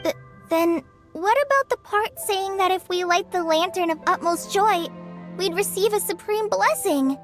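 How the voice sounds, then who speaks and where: A woman voicing a tiny childlike fairy companion in a video game, squeaky, blunt and curious, with a cartoonish delivery. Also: moderate pace. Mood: excited, curious. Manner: speaks of self in third person. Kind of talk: cartoonish game character acting. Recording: clear music playing behind.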